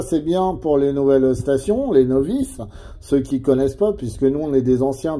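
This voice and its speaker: A man's voice: medium pitch, warm tone. A middle-aged man chatting casually as a CB radio operator, adding tag words at the ends of phrases.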